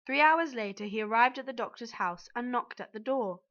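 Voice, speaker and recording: A woman's voice: fairly high pitch, a little fast, a bit bright. A woman narrating a scripted children's story as its reader. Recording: dull, band-limited audio.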